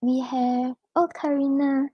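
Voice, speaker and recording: A young Singaporean woman giving a live-stream tarot reading, offering gentle reassuring advice with casual fillers. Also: high-pitched, level tone, slow pace, no room sound, dull audio. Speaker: tarot reader.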